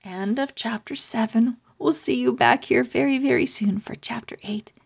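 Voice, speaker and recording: A woman reading a children's storybook aloud in a deliberate, scripted narration. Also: on the high side, gravelly, husky.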